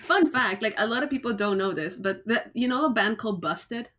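A woman hosting a podcast over a video call, excitable and quick with her questions. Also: a little high. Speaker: podcast host. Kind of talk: casual interview chat.

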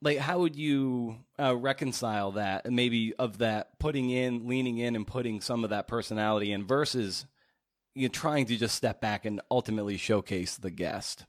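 A man hosting a casual interview, speaking haltingly and circling around as he builds his questions. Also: mid-range voice, dry recording, studio quality. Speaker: podcast interviewer, host.

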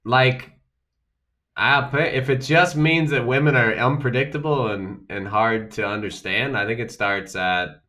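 Bright-voiced joking middle-aged man. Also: mid-range voice, a little slow. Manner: joking, teasing banter. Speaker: podcast co-host. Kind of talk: casual chat.

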